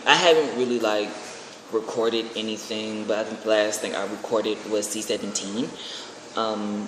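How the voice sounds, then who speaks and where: A man with an American accent talking casually in a rambling, informal vlog style, often restarting his phrases. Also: lowish voice. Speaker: aspiring singer and video creator. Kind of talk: casual personal vlog announcement. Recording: very echoey, reverberant room with faint noise.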